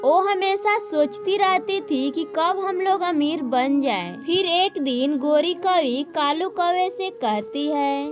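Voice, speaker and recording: A woman's voice: extremely high pitch, animated, takes it slowly, hardly any pauses, music in the background. A woman narrating a scripted children's story as the storyteller.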